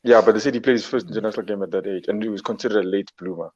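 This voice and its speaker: Man, low voice, unsure and halting, muffled dry mic. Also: darkish tone, breathy edge, dry recording. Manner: hesitant, laughs self-consciously, trails off. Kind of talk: casual football chat.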